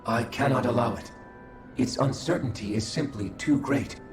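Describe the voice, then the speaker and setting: A man's voice: mid-range voice, moderate pace, close to an everyday voice. An actor playing a cold, calculating male observer figure in a video game cutscene, speaking formally and without feeling. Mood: detached. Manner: formal, detached, clinical. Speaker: game character. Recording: echoey, with game music behind.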